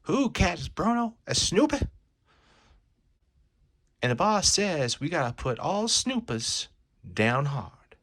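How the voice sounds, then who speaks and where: A man voicing a rough carnival henchman, barking slang in an alarmed, excitable manner. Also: on the high side, slow pace, long pauses, no echo, studio quality, pitched above the performer's own voice, exaggerated voice.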